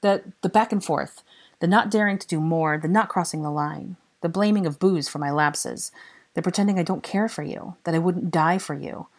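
A woman's voice: fairly low pitch, normal speed. Woman voicing an impassioned, lovesick young man. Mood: impassioned, halting. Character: lovesick, exasperated young man confessing his feelings. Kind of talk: dramatic audiobook character dialogue.

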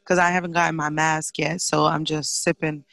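Raspy, hoarse woman podcaster. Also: deep voice, level tone, moderate pace, a bit bright, no echo. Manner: profane, laughing, easygoing. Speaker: podcast co-host. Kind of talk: casual podcast chat. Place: inside a parked car.

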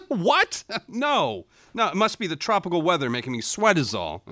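A man's voice: high voice, huge pitch swings, normal speed, sharp tone, no room sound, heavily acted. A man playing a jittery, defensive police officer in an audio drama, stammering and easily flustered.

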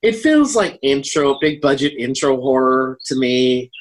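A man's voice: mid-range voice, lively intonation, unhurried. A man co-hosting a podcast, chatting casually and in a rambling way about horror, acting out bits of dialogue as he retells things. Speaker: podcast co-host. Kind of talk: casual chat about horror books and films.

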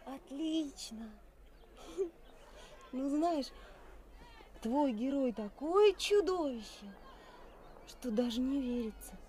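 An actress playing a lively, teasing young fiancée in a 1980s radio play, with theatrical delivery. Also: female voice, high-pitched, slow, long pauses, slight background noise. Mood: admiring, playful. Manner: theatrical, playful.

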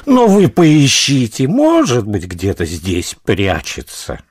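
Coy fake widow, radio play, slow drawl, wildly swooping pitch, falsetto. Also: male voice, huge pitch swings, husky, heavily acted. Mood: coy, evasive.

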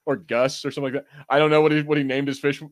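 A man's voice: mid-range voice, flat delivery, very fast. Casual man rambling on a podcast. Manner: informal, rambling, sweary. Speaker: podcast co-host. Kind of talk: casual chat, episode review banter.

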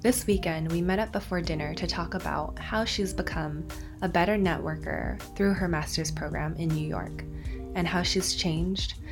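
A young woman hosts a podcast in a fairly low voice, chatty and curious as she draws out her guest. Music plays clearly beneath her. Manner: friendly, curious, quick follow-up questions. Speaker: podcast host. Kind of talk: podcast interview.

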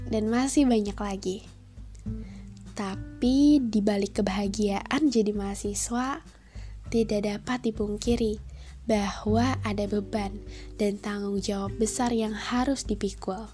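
A woman's voice: on the high side, warm tone. A young woman, talking in a friendly, chatty podcast style, her voice nasal and stuffy from a cold. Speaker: podcast host. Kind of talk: casual solo podcast talk.

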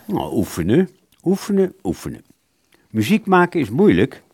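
A man's voice: medium pitch, wildly swooping pitch, normal speed, darkish tone, slightly rough, no echo, exaggerated voice. An actor in a radio play playing a weary, grumbling male musician with an emphatic delivery. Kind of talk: Dutch radio play acting.

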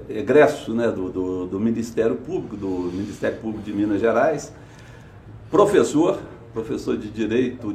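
A man's voice: mid-range voice, a little mellow, a little hiss or hum. Formal man host, roomy sound. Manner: formal, halting with repeated words. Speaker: podcast host, police chief. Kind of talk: interview hosting.

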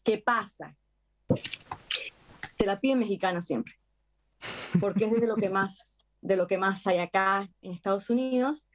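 An actress with an Argentine accent chatting casually in an interview, full of fillers and lively retellings. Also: female voice, mid-range voice, unhurried, pauses often, rough recording. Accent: Argentine Spanish. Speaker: actress guest.

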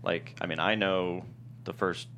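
A man's voice: low voice, steady tone, unhurried, fairly bright tone, slight background noise. A man talking casually as a screenwriter on a panel, rambling through his points with lots of filler phrases. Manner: rambling, frequent "like" and "you know". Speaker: screenwriter interviewed about his TV pilot.

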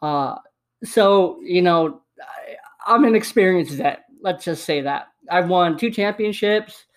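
A man's voice: high-pitched, takes it slowly. Man in his twenties, slight lisp, upbeat rambling monologue, home USB microphone. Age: 20s. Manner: upbeat, rambling, repeats himself. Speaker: podcast host. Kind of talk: casual solo podcast monologue. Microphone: USB desktop microphone.

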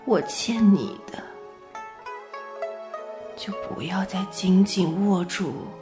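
Woman playing weary forgiving woman, radio play, hushed, very drawn-out phrasing, medium pitch, zither accompaniment. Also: close to an everyday voice. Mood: tender, sorrowful. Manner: slow, hushed, deliberate, pausing between short phrases. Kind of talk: amateur radio drama reading of a stage play. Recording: zither music behind. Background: zither music.

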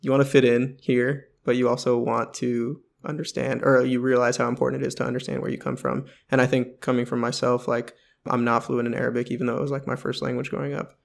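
A man interviewing a guest in a relaxed, casual way, often pausing with ums. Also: medium pitch, creaky.

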